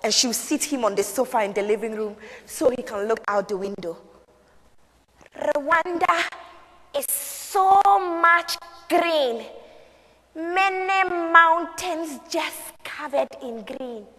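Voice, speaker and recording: A woman playing an eager, proud and wistful young Rwandan woman survivor in a theatrical solo stage piece, with expressive pauses. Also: sits high, voice pushed up, heavily acted. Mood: nostalgic. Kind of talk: solo dramatic interpretation on stage. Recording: echoey hall.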